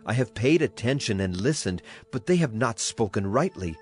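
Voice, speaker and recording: A man's voice: medium pitch, animated, moderate pace, lightly acted. A man acting a solemn prophet who speaks for a grieving, wrathful God in a dramatized scripture reading, declamatory in delivery. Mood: grave. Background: music behind the voice.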